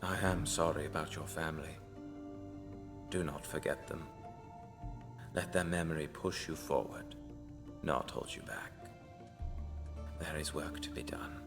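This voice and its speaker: A man playing a grave, devout cult seer who speaks in a solemn, cryptic, often hushed way in a dark fantasy audio drama. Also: rumbling low, unhurried, long pauses, music in the background. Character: grave, devout male cult seer, ominous mentor to an assassin.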